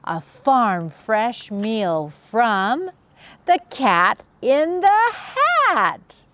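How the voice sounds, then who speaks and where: Female children's read-aloud host: unhurried, lively pitch swings, sing-song and playful. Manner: expressive sing-song rhyming delivery with playful sound effects. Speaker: children's story reader, channel host.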